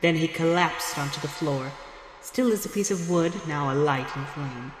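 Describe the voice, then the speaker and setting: A man's voice: fairly high pitch, normal speed. A man narrating an audio drama in a dramatic scripted style. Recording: echoey hall, loud music behind.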